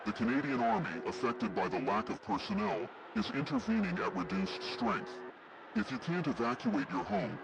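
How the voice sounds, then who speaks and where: A man plays a grave male emergency alert announcer. His delivery is official and measured, with wide swings in pitch and a gravelly edge. Loud noise runs behind the voice. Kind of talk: scripted emergency broadcast narration.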